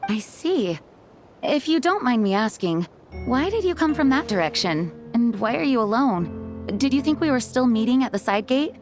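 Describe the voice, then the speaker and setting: A woman's voice: a little high, big pitch swings, moderate pace, over music. A woman voicing a gracious, dutiful young knight commander in a video game, courteous and earnest. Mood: probing.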